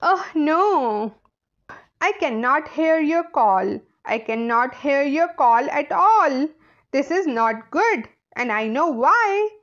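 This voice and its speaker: A woman's voice: high voice, lively intonation, slow pace, fairly bright tone, lo-fi sound, exaggerated voice. A woman voicing an earnest storybook creature calling out on a telephone, exclaiming and stressing the words.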